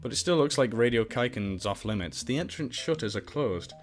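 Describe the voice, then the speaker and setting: Guy doing a lets play, reading game lines out loud, super animated and jokey, music playing behind him. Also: mid-range voice, brisk. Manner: jokey, exclamatory, swings between reading and goofy outbursts. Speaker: host of a game playthrough series. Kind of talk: let's play, reading game text aloud with joking asides.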